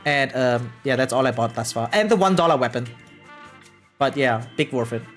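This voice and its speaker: An excitable gaming streamer chatting casually and slangily on a livestream, swearing freely. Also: mid-range voice, moderate pace. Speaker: variety game streamer. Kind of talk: casual livestream chat about gacha games. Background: background music.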